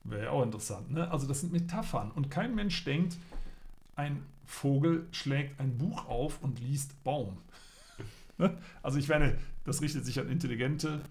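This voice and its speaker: A man's voice: medium pitch, lively intonation, normal speed, darkish tone. A middle-aged man co-hosting a casual live stream, chatting and joking while reading headlines aloud. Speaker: co-host. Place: indoor room, seen on video.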